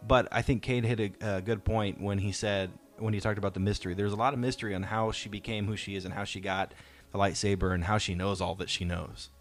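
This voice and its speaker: Low-pitched man hosting, music behind. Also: little pitch movement, fast. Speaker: podcast host. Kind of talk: casual fan discussion.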